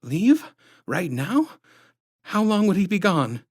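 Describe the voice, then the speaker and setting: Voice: mid-range voice, sing-song, takes it slowly, slightly rough, voice pushed up, exaggerated voice. A narrator voicing a worried mother who pleads anxiously for her son.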